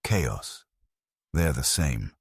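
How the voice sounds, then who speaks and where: A man acts out a doomed factory worker in a grim sci-fi tale. The voice is extremely low and husky, hollow with despair, recorded close and dry. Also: sing-song, drawn-out words, no echo, heavily acted. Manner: hollow, bleak.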